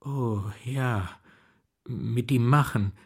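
An actor in a radio play voicing a kindly, simple-minded older gentleman who speaks hesitantly and dreamily, with a husky voice. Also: mid-range voice, exaggerated voice. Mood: mild, musing. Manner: hesitant, dreamy, repeats words slowly.

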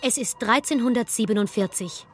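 An actress playing an archaeologist who narrates history in a wry, conversational way in a radio play, with music underneath. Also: female voice, on the high side, a little fast, lightly acted.

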